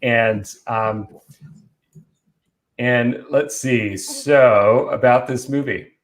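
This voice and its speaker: A man's voice: mid-range voice, drawn-out words. Male co-host, mid-range voice, chatty and keen, informal movie talk with friends. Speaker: podcast co-host. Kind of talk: casual film discussion among friends.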